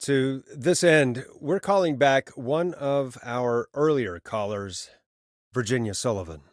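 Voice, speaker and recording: A man voicing a quick-witted, earnest radio call-in host in a video game, speaking in a conversational, wry way. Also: mid-range voice, no room sound, clean studio sound, lightly acted. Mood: showy on-air.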